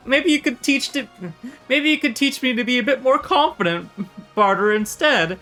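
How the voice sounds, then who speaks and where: A woman's voice: high voice, unhurried, sharp tone, faint music behind, pitched above the performer's own voice. Woman voicing an unsure, gullible young student guy. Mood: earnest. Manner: hesitant, unsure. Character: timid, gullible, earnest young male student Doug. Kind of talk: visual novel character read aloud.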